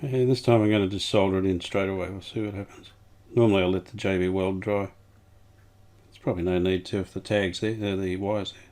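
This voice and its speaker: Male repair vlogger, low pitch, casual and unscripted, plain matter-of-fact delivery. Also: fairly even intonation, brisk, darkish tone. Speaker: restorer presenting a hands-on vintage radio repair. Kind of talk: casual workbench commentary.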